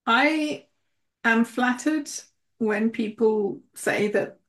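A woman's voice: a little high, drawn-out words, a bit bright. A woman with an English accent in casual conversation, speaking earnestly with frequent little laughs and restarts.

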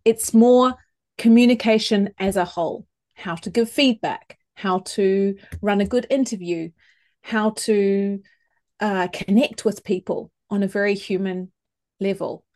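A woman's voice: mid-range voice, steady tone, a little mellow. A woman from New Zealand with a New Zealand accent, a communications coach talking thoughtfully as a podcast guest. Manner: thoughtful, measured, with small restarts. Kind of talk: conversational interview.

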